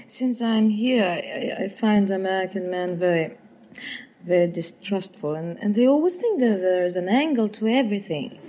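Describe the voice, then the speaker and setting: A woman's voice: medium pitch, expressive, moderate pace, warm tone, low-quality recording. A woman, a quiz contestant giving coy, playful replies. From France. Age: 20s. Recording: faint hiss of 1950s radio.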